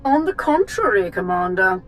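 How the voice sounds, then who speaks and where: A woman's voice: medium pitch, sing-song, moderate pace, fairly bright tone, soft background music. A woman playing an imperious, defiant villainous empress in a video game, haughty and theatrical. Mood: haughty defiance. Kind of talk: cinematic game dialogue.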